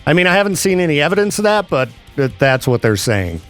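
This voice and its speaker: A man hosting a radio show, chatting and joking in a lively, animated way. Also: on the high side, quick pace, smooth, soft background music. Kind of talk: casual radio banter.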